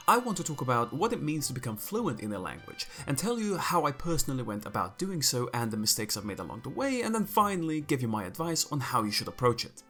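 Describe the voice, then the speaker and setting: A man's voice: mid-range voice, a bit bright. A young man from Croatia, a native Croatian speaker, giving a scripted and opinionated explanation to his viewers with dry asides. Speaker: video creator. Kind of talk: scripted talk to camera.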